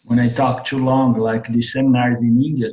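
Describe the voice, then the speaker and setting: A man teaching a spiritual philosophy class over a video call with a strained voice. He keeps clearing his throat and talks haltingly, often restarting his phrases. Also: mid-range voice, level tone, darkish tone, roomy sound, slight background noise, low-quality recording. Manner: halting, restarts phrases, repeats words while explaining. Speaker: Vedanta teacher. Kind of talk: online class lecture. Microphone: computer mic on a video call.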